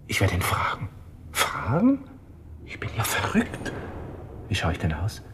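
An actor playing a panicked, proud young army lieutenant in a radio drama monologue, talking to himself with a Viennese accent. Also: male voice, deep voice, wildly swooping pitch, unhurried, noisy background, exaggerated voice. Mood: anxious, bewildered. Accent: Viennese Austrian. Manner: agitated self-talk, from hushed muttering to outbursts.

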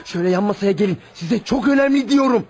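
Radio drama role: gossipy younger nephew, urgent and scornful, high-pitched, quick delivery. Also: male voice, slight background noise. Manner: urgent, animated storytelling.

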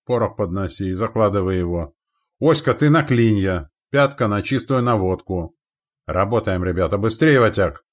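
A man voicing a gruff, impatient gun crew commander who barks orders and grumbles in an audiobook. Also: lowish voice, moderate pace, hardly any pauses, voice pushed up, lightly acted. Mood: urgent, commanding.

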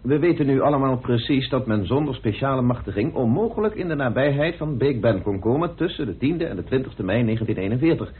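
A formal, insistent male questioner in a radio play. His husky voice moves animatedly as he probes with precise wording. The old recording is narrow and phone-like. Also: mid-range voice, expressive, fast, few pauses. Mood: probing, formal. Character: probing, formal British man questioning his old acquaintances.

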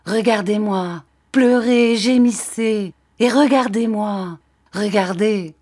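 A woman's voice: fairly low pitch, slow, a little mellow. A woman reading a storybook, voicing a bitter scarred woman in passionate fury, with imperious, accusing commands and reproaches. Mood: scornful fury. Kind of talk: dramatic audiobook acting of a classic novel.

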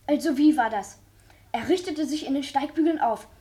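High, mellow, inquisitive young boy voiced by a woman. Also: moderate pace, lightly acted. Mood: curious. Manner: inquisitive, pressing. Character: eager, curious young boy in hospital. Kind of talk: German radio play acting.

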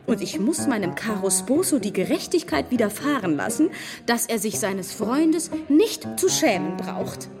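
A woman's voice: on the high side, sing-song, normal speed, music in the background. An actress playing a self-important married society woman in a radio drama, effusive and affected, with emphatic delivery. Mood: self-satisfied.

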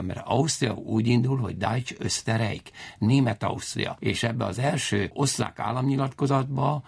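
A man's voice: lowish voice, creaky, slightly rough. A man speaking as a historian guest, explaining in a digressive, lecturing way and often rephrasing himself. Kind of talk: explanatory interview talk.

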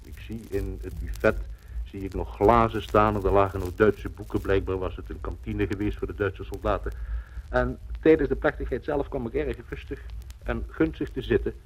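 A male correspondent recalls what he witnessed, for 1950s radio. His voice is fairly low and husky. He describes things in a measured, emphatic way, repeating phrases. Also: fairly even intonation, poor audio. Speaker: news correspondent giving eyewitness account.

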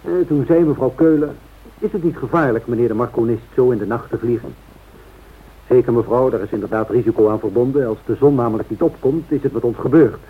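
An actor playing a genial airline captain in a radio play, a wry and chatty storyteller. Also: male voice, mid-range voice, fast talker, husky, close to an everyday voice. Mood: amused, wry. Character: genial male airline captain telling anecdotes. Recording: tinny narrow-band old radio recording.

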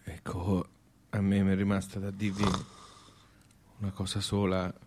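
An actor playing a plain-spoken, grumbling old husband with a Tuscan accent, talking haltingly and seeking agreement with little tag questions. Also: male voice, sits low, completely flat delivery, a little mellow. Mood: weary, aggrieved.

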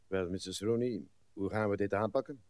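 An actor playing a courteous, stiff older gentleman in a radio play, formal and dryly resigned. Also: fairly low pitch, normal speed, no echo, natural voice. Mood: harried. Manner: formal, polite, dry.

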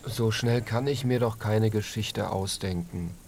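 Dreamy, sighing little piglet, low voice. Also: male voice, slight background noise. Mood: sheepish, flustered. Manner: sighing, slow, often hushed. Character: gentle, dreamy, contented young male piglet. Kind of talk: children's radio play acting.

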